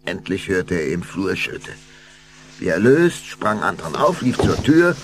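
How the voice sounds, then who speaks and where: An actor narrating a spooky children's tale with scripted, suspenseful delivery. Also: male voice, low-pitched, sing-song, unhurried, soft background music.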